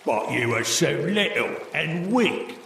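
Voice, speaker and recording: A gravelly gloating troll. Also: male voice, fairly high pitch, lively intonation, reverberant, big-room sound, background noise, heavily acted. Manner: gloating, menacing, drawn-out growls. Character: mean, hungry male troll guarding a bridge.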